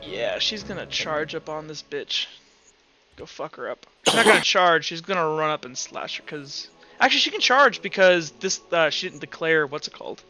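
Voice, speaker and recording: A man's voice: a little high, sing-song, normal speed, sharp tone. A man working as game master, narrating a tabletop roleplaying game casually, thinking aloud and swearing freely. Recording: dull, lo-fi sound with background music.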